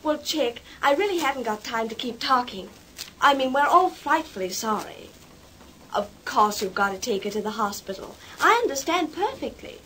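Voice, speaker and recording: A well-to-do young bride-to-be in a vintage radio serial. Her voice is high and fretful, with an American accent, and she orders people about in flustered exclamations. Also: lively intonation, moderate pace, a bit bright, slight background noise, low-quality recording. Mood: pleading, hurried. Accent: put-on American. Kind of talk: 1940s radio soap acting.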